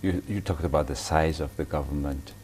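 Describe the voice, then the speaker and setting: Man with an extremely deep voice. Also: fairly even intonation, darkish tone, a little hiss or hum. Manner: halting, hesitant phrasing, frequent back-channel murmurs. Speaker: interviewer host. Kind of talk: interview questions.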